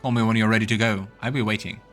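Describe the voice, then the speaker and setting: A man's voice: fairly low pitch, steady tone, racing, fairly bright tone, soft background music, lightly acted. A man voicing a resolute, serious male companion fighter with earnest delivery in a game. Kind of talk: voiced game dialogue.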